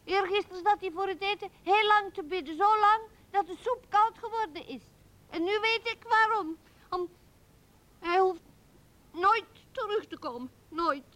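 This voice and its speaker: A woman's voice: extremely high pitch, moderate pace, a bit bright, poor audio. An actress voicing a small, earnest girl, indignant and fanciful, in a childlike piping manner for a radio play. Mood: sulky.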